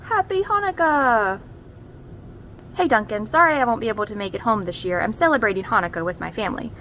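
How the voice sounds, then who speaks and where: A cheerful picture-book crayon pal, voiced by a woman. The delivery is chatty and a little awkwardly jokey, with a highish pitch and slight noise in the recording. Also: lively intonation, fairly quick, pushed and bright. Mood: cheerful, sheepish.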